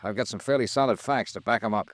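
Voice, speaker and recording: An actor playing a world-weary, blunt American insurance investigator in a 1950s radio detective drama, speaking in clipped, cynical lines. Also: male voice, lowish voice, fast, no room sound, close to an everyday voice. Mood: blunt, accusing. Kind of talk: 1950s radio detective drama acting with first-person narration.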